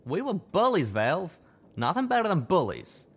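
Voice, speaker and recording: Pony stallion with a folksy drawl, high and emotional, a male narrator putting him on; lively swinging pitch. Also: moderate pace. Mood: weeping. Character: young male pony, rough-spoken, guilt-torn and earnest.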